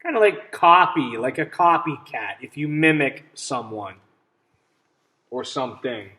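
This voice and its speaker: A man reading a picture book aloud to children as a teacher, pausing to ask simple questions and explain things. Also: medium pitch, expressive, pushed and bright. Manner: instructive, checks understanding with simple questions.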